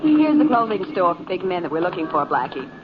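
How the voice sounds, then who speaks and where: A woman's voice: on the low side, sing-song, fairly quick. A woman playing a cheerful, teasing detective's sidekick in a 1940s radio drama, with an amiable manner. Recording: muffled, very noisy old radio recording.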